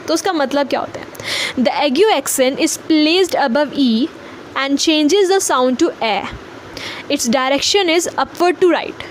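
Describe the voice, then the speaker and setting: A woman's voice: high voice, a little hiss or hum. A young woman with an Indian accent giving a casual, emphatic online language lesson, mixing Hindi and English and repeating her points. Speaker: language teacher.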